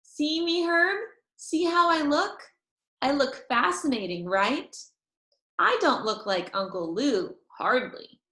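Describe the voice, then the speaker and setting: A woman voicing an excitable, boastful little girl in a children's storybook, with an eager, emphatic, childlike delivery. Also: sits high, takes it slowly, pitched above the performer's own voice, exaggerated voice. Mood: excited, proud. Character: excitable, boastful little girl, kindergarten or first-grade age. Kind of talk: children's storybook read-aloud. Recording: roomy echo.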